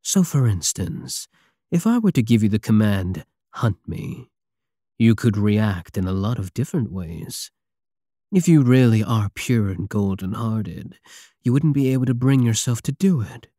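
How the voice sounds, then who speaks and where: A man's voice: lowish voice, expressive, a little slow, warm tone, no echo, studio quality. A man playing a swaggering, obsessive space pirate king in an intimate ASMR roleplay, flirtatious and menacing with a teasing, theatrical drawl. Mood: taunting. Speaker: audio roleplay voice actor.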